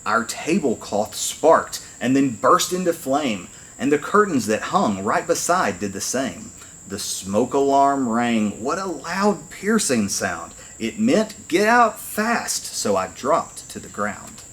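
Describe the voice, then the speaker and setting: A man reading a rhyming picture book aloud to children in an expressive, deliberate storytelling manner. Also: mid-range voice, slight background noise. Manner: animated storytelling, deliberate phrasing.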